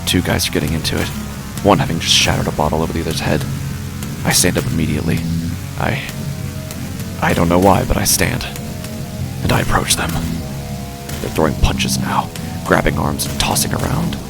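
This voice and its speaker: A man performs a brooding, menacing street tough narrating a horror audio drama. His voice is extremely low and thick with gravelly fry. He speaks in deliberate, halting phrases with a nihilistic edge, over prominent music. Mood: tense, halting. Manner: brooding, halting, deliberate, with heavy pauses and sighs. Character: menacing, drugged, nihilistic male street tough with violent urges. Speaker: horror fiction podcast narrator. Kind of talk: first-person dramatic horror monologue. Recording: music under the voice. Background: background music.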